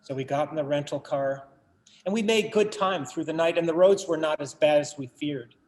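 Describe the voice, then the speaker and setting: Actor as a wry, higher-voiced grieving son narrating. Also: male voice, a little mellow, lightly acted. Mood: somber, reflective. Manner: measured, literary, wry. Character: collector-writer son, wry bereaved narrator of his family story. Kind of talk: dramatic monologue in a staged reading.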